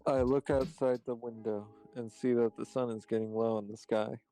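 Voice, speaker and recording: A man's voice: mid-range voice, level tone, moderate pace, mellow, slight background noise. A man reading a visual novel's narration aloud in a casual group playthrough, as the narrator. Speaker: co-player reading narrator lines.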